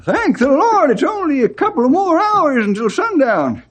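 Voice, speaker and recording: High-pitched, crotchety old cowboy sidekick. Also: male voice, big pitch swings, moderate pace, over loud music. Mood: folksy concern. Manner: folksy, grumbling. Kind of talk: old-time radio western acting. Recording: old radio drama recording.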